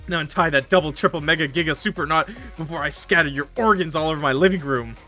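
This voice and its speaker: A man's voice: a little high, quick pace, bright, forceful tone, faint music behind. A man voicing a bossy, sadistic female werewolf who barks threats and goads in a roleplay. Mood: menacing. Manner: goading, barking, threatening. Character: fierce female werewolf homeowner, bossy, sadistic, feral teacher.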